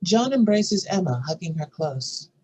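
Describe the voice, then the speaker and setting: A narrator delivers screenplay directions in a scripted reading. The voice is husky and sits at a middle pitch. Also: big pitch swings, a little slow, warm tone, rough recording.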